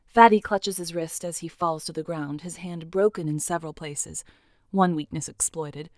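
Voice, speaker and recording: A woman's voice: low-pitched, fairly even intonation, moderate pace, darkish tone, no echo, studio quality. A woman narrating an audio drama as scripted, dramatic storytelling.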